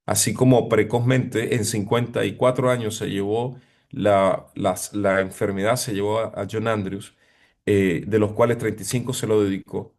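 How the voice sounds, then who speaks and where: A middle-aged man preaching a reflective sermon as a pastor, pausing often with fillers. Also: on the low side, fairly even intonation, a little mellow. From abroad, living in Chile 20 years. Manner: reflective, hesitant with frequent fillers.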